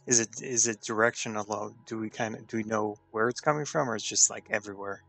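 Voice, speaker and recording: A man with a somewhat low, husky voice talks casually as a tabletop game player. There is faint music behind him. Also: steady tone, fast, fairly bright tone. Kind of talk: casual chat.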